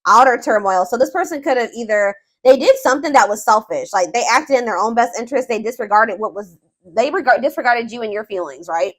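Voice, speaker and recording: High-voiced woman giving a casual tarot reading. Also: lively intonation, a little fast. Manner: informal, conversational, searching for words aloud, emphatic. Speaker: tarot reader, host.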